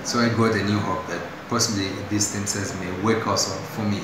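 Young Tanzanian man, lowish voice, unscripted patient testimonial, hesitant, recorded on a phone in a very echoey hospital room with noise behind. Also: fairly even intonation. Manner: halting, with restarts and fillers.

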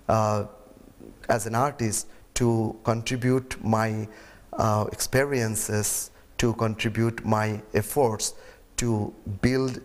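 A man with a Bangladeshi accent, native Bengali speaker, interviewed indoors, speaking slowly and carefully with pauses. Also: on the low side, in a large hall. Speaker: interviewee, mime artist. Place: indoor room.

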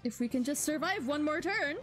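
A woman doing playful, excitable live game commentary and reading dialogue aloud with mock drama. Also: sits high, brisk, faint music behind. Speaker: game streamer.

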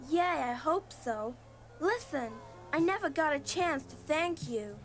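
A friendly American teenage girl in a film scene. Her voice is high and young, casual and caring, with faint music underneath. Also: animated, a little slow. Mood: friendly, concerned. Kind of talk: film dialogue.

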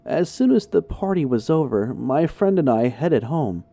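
A man's voice: fairly high pitch, lively intonation, moderate pace, creaky, mellow, dry recording. A man reading a horror story in a suspenseful, theatrical narrating style. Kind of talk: dramatic scripted narration.

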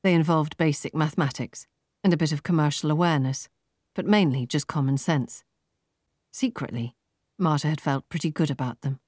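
Lady reading a novel, low voice, dry studio sound. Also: moderate pace, darkish tone, dry recording. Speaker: audiobook narrator. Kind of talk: scripted fiction narration.

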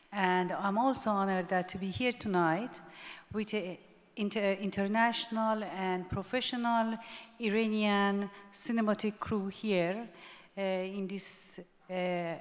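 A middle-aged woman giving formal thanks on an awards stage, pausing often with fillers. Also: mid-range voice. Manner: hesitant, many uh fillers. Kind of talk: formal acceptance speech. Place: large awards hall stage. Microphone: stand mic. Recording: long hall reverb.

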